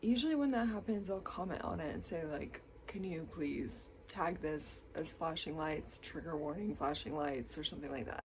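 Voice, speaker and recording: A woman's voice: low-pitched, moderate pace, few pauses, a little hiss or hum. A young woman with a stuffy, congested voice from a cold, chatting casually to her camera with lots of likes and ums. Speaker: vlogger.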